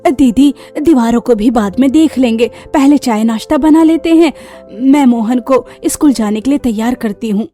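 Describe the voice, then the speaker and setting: A caring, practical young village wife voiced by a woman for a cartoon story. Her tone is mellow, with soft music behind it. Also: sits high, moderate pace, hardly any pauses, pitched below the performer's own voice, close to an everyday voice. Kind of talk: Hindi cartoon story character voice.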